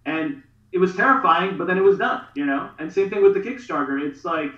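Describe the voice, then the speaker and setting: A man's voice: high-pitched, expressive, brisk, bright, forceful tone. A man in his thirties from Pakistan, talking earnestly with many fillers as a guest on a video call. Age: 30s. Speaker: guest, artist promoting a campaign. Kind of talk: casual video call interview. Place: home studio room. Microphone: webcam on a video call. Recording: roomy, dull video call audio.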